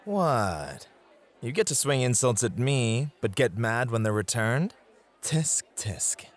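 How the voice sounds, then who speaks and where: A man's voice: mid-range voice, sing-song. A man playing a suave, possessive and devoted club host in an ASMR roleplay, speaking in a teasing, flirtatious, intimate way. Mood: smug teasing. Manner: teasing, flirtatious, intimate address with drawn-out phrasing.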